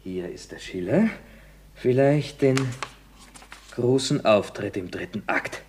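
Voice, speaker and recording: An actor playing a cheeky, eloquent young stage actor with florid mock-formal courtesy in a 1960s German radio comedy. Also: male voice, on the low side, animated, slow, a little mellow, slight background noise. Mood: brisk, scheming.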